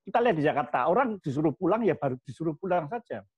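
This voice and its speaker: A man explaining things in a measured way as a guest speaker at an online forum. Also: a little high, big pitch swings, slightly rough, no room sound. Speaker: guest speaker, disaster-response organization leader. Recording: dull, lo-fi online meeting audio.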